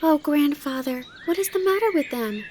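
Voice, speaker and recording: A woman voicing an excitable high-pitched little girl. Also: normal speed, slight background noise, lightly acted. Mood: surprised, eager. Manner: breathless exclamations and eager repeated questions. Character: excitable, curious, tender little girl in mountain story.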